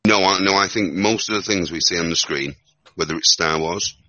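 A man with a Northern English accent and an extremely deep voice that still comes across forceful and bright. He talks in a loose, rambling way, restarting his phrases. The sound is dry and muffled, like a lo-fi recording. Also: a little fast, dry recording. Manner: rambling, repeats words as he thinks aloud. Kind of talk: casual discussion.

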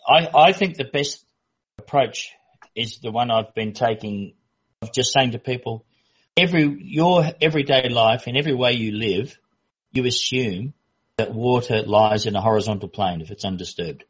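A man in casual discussion, speaking in a measured way with stammering restarts. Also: medium pitch, husky. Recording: muffled lo-fi recording.

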